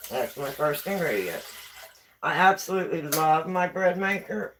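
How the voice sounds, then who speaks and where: A woman's voice: low voice, expressive, moderate pace, background noise. Chatty woman with a West Virginia accent. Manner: chatty, repeats herself, reads viewer comments. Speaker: live stream host. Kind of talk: casual cooking live stream chat. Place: home kitchen. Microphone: camera on a tripod.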